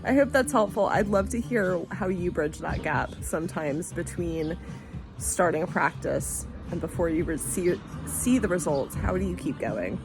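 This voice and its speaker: A middle-aged woman vlogging in a gentle, reflective, conversational way, musing aloud with frequent "you know" and "like", over background music. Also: fairly low pitch, lively intonation, normal speed. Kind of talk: reflective motivational talk to camera.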